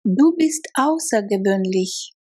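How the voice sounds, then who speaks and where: A woman teaching beginner phrases in a slow, deliberate drill, saying each phrase in full and then word by word. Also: medium pitch, animated, darkish tone. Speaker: language teacher.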